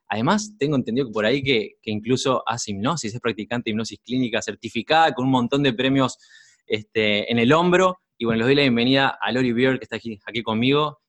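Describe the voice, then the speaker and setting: A man's voice: medium pitch, fairly bright tone. A man hosting an interview, asking long winding questions that he restarts and reworks as he goes. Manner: rambling, restarts questions, voseo. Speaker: host.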